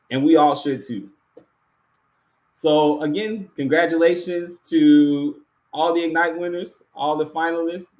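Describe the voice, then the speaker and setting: A man hosting an awards ceremony, giving a prepared closing speech in an earnest, deliberate way with emphatic repetition. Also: on the high side, darkish tone, poor audio. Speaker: awards show host.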